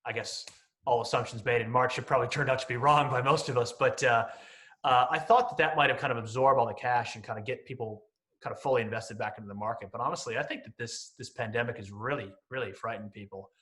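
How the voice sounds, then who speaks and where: Male interview guest, late 30s, bright voice, explains conversationally and hedges as he goes. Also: medium pitch, steady tone, fast. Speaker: podcast guest, company CEO.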